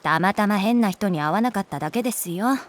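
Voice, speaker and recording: Woman acting a brisk, expressive female schoolteacher. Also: medium pitch, slight background noise, lightly acted. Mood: firm, polite, exasperated. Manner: shifts between polite firmness and casual bluntness. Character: female schoolteacher, brisk, teasing, coolly pragmatic. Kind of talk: Japanese audio drama acting.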